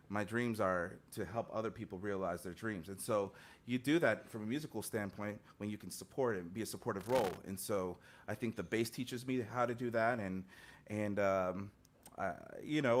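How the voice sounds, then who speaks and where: A man in his thirties, a guest musician, answering interview questions earnestly and reflectively over a video call from a home studio mic, with many fillers and restarts. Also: on the low side. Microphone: studio mic on stand, headphones, video call.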